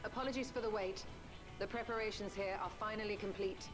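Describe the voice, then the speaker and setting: A woman's voice: mid-range voice, fairly even intonation, fairly quick, lightly acted. A woman playing a composed, commanding leader in a fantasy game, speaking in a formal, archaic manner. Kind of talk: scripted fantasy game acting. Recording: game audio under loud music.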